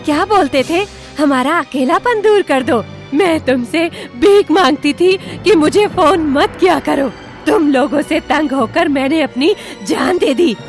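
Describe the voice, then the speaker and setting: A woman playing a vengeful witch ghost in an animated horror story, gloating and taunting with drawn-out threats. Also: high-pitched, soft background music.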